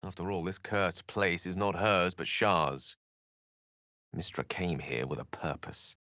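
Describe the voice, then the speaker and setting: A man's voice: low-pitched, measured pace, sharp tone, no echo, clean studio sound. An actor playing an eloquent, learned wizard in a video game, articulate and bookish, wry and earnest in his florid phrasing. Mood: pensive. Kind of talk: scripted video game character dialogue.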